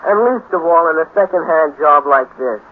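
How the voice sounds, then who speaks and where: An actor playing a naive, nervous, admiring teenage sidekick with a hesitant gee-whiz manner in a 1950s radio drama. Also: male voice, a little high, normal speed, natural voice. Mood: worried. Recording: tinny, narrow-band 1950s radio recording.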